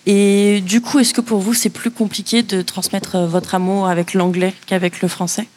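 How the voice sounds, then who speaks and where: A woman's voice: mid-range voice, flat delivery, moderate pace, a little mellow. A woman hosting a panel discussion and putting questions to guests. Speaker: host.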